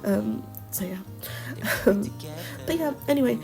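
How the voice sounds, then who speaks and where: Female vlogger with a cold: nasal, slightly high, with vocal fry, rambling casual voice-over with music underneath. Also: lively intonation, a little slow. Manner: chatty and rambling, many ums. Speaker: game build creator. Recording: music playing behind the voice.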